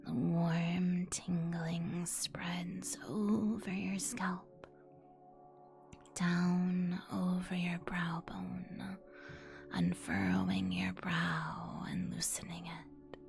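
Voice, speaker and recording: A woman whispering an ASMR guided meditation as its host, in a soothing, instructional manner with long pauses. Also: on the low side, vocal fry, darkish tone. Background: music.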